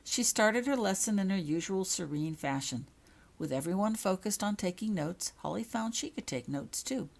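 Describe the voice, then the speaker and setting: A woman narrating a story from a script, reading her own fiction aloud with a husky voice. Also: mid-range voice, animated, normal speed.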